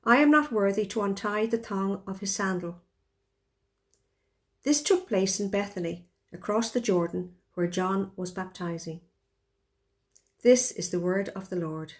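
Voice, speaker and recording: A woman's voice: mid-range voice, a little slow, long pauses. A woman reading a Bible passage aloud from the text as a lay reader in worship.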